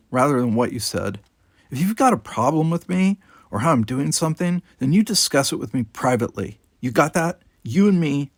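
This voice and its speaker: Man putting on young female cadet, feisty, blunt, pointed delivery, lifted pitch, dry sound, audiobook. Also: dry recording.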